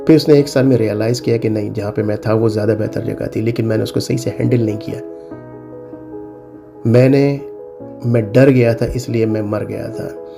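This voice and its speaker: A man's voice: fairly low pitch, fairly even intonation, moderate pace, creaky, over music. A middle-aged man with a Pakistani accent gives informal advice to viewers, emphatic and repeating his warnings, mixing in English phrases. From South Asia (Pakistan). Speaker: cyber security consultant and advice video host. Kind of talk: informal advisory talk to viewers.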